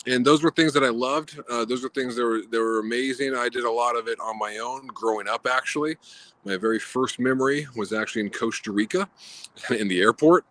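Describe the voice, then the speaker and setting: A man from Guatemala telling a personal story in an earnest, reflective way, pausing often with fillers over a video call. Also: mid-range voice, sharp tone.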